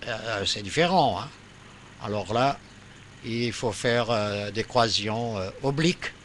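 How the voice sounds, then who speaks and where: A man's voice: mid-range voice, pushed and bright. A man talking casually as an amateur radio operator, explaining and giving advice in colloquial language, his phrases swelling and trailing off. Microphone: radio operator's room microphone, streamed online. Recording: faint background noise.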